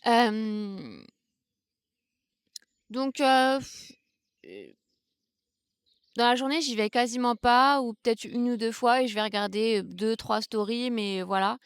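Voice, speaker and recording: Reflective young woman, smooth clear voice. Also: on the high side, no room sound, clean studio sound. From Brittany, France. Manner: thoughtful, hesitant, many fillers and restarts. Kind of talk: casual reflective vlog talk. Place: outdoors in the countryside, seated on a wooden stool.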